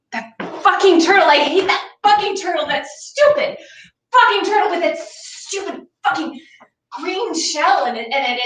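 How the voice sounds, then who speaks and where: Bitter suburban wife, played by a woman, exasperated and emphatic, swinging pitch, recorded from far off. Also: fairly bright tone. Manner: profane ranting, emphatic. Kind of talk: staged play reading. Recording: echoey room, far from mic.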